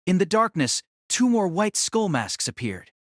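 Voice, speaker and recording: Male narrator for fantasy audio drama, slightly high voice, scripted dramatic reading, dry close recording. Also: very animated, unhurried, fairly bright tone, dry recording.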